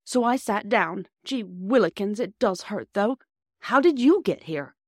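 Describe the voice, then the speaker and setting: A woman's voice: mid-range voice, expressive, unhurried, dry recording, clean studio sound, voice pushed up. A woman voicing a plucky, indignant injured schoolboy in a storybook, with a blunt, slangy manner.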